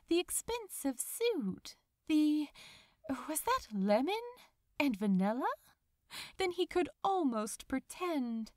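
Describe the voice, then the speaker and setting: High-pitched expressive woman narrating fiction. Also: no echo, studio quality. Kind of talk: dramatic scripted audiobook narration.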